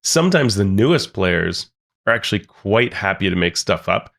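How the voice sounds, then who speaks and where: A man's voice: lowish voice, sing-song, moderate pace, vocal fry, a bit bright. A man co-hosting a tabletop gaming podcast, talking in an easygoing, casual way. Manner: easygoing, chuckles and backs up his partner. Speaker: podcast co-host.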